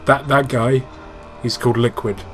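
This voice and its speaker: A man's voice: mid-range voice, level tone, moderate pace, music in the background. A man hosting casual gaming commentary, swearing freely and explaining things with enthusiasm. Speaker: host playing and explaining a video game. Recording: clearly noisy room recording.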